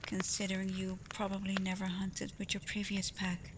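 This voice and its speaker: A woman voicing a caring alpha werewolf in a soft, breathy ASMR roleplay, gentle and reassuring, confident but privately unsure. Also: medium pitch, moderate pace, lightly acted. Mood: warm, encouraging. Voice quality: soft, breathy, often near a whisper. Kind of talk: ASMR roleplay, soft intimate talk to the listener. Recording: clear steady ambient noise.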